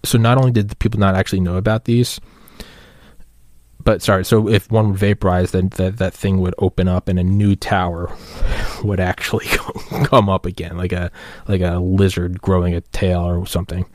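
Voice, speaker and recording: A man's voice: low voice, little pitch movement, moderate pace, darkish tone, dry recording, studio quality. A man hosting a podcast alone, rambling through a casual, slangy rant with some swearing. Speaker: podcast host. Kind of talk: casual solo rant monologue.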